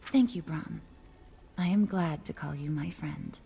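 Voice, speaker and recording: Young fox spirit, low, mellow, breathy, soft-spoken, wistful, guarded, fantasy game. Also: female voice, measured pace, soft background music. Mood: warm, reserved. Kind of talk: cinematic fantasy game acting.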